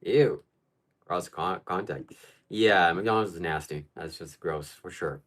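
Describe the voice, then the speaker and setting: A man's voice: deep voice, slow, fairly bright tone. A man from Ukraine hosting a live stream, answering viewer questions in casual, blunt and opinionated chat.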